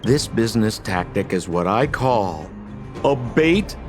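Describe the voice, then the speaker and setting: An actor playing a smooth-talking young male hustler in a video game, with showy, sly salesman patter. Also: lowish voice, animated, slow, over music. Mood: sly, confiding. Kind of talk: English video game voice acting.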